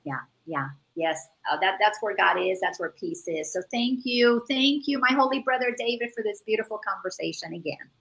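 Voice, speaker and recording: A woman's voice: mid-range voice, lively intonation, normal speed, vocal fry, few pauses, a bit bright, low-quality recording. A woman who grew up in the Dominican Republic, speaking earnestly over a video call and repeating words as she searches for them. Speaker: guest. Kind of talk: casual spiritual chat.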